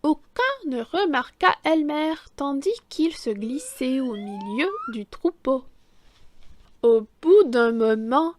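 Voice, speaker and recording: A woman reading a children's picture book aloud, deliberate and theatrical, stressing key words. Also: sits high. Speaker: children's story reader, French teacher.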